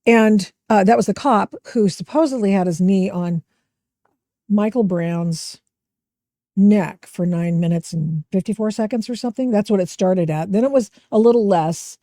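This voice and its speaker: A woman's voice: mid-range voice, moderate pace, mellow, no room sound, studio quality. A middle-aged woman hosting a podcast interview, chatty and emphatic, with frequent restarts. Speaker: podcast host. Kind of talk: casual interview chat.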